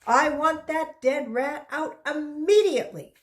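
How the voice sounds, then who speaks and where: A woman's voice: high voice, measured pace, echoey room, pitched above the performer's own voice. A woman voicing a strict female elementary school teacher in a children's storybook, firm and matter-of-fact. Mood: stern.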